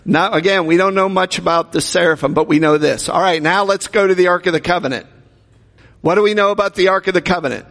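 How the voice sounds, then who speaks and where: A man's voice: high-pitched, fast, a bit bright. A man preaching a sermon in a conversational way, asking the congregation rhetorical questions. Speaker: pastor. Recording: long hall reverb.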